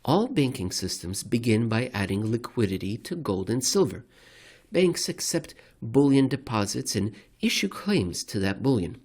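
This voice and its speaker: A man giving a measured, deliberate ASMR reading of a formal written commentary. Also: mid-range voice, very animated, a little mellow, slightly rough. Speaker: reader, narrator.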